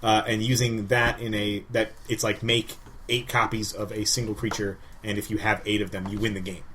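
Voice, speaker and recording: A man chatting casually as a podcast co-host, rambling in an animated way with lots of filler "like". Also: on the low side, normal speed, slight background noise. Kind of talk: casual group chat.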